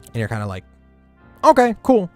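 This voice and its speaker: A man's voice: high voice, wildly swooping pitch, moderate pace, mellow, soft background music. A man streaming a game, chatting casually and giving rambling, opinionated takes with plenty of swearing. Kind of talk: casual gaming stream chat.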